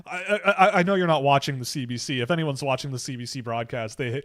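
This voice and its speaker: Excitable man chatting on stream. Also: mid-range voice, quick pace. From Canada. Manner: rambling and excitable, with many restarts and fillers. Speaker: livestream host. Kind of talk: casual livestream chat.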